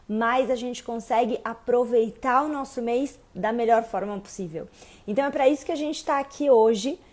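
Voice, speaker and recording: A woman with a slightly high voice, hoarse from losing it. She hosts a casual livestream and talks her viewers through each point in a patient teaching manner. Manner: warm teaching, explains step by step. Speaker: host of a kabbalah livestream.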